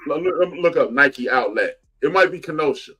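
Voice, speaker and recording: Male podcast co-host from the Chicago area, casual and animated, lively intonation. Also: on the high side, normal speed, slightly rough. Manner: animated, loud bursts when excited, trails off searching for words. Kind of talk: casual chat.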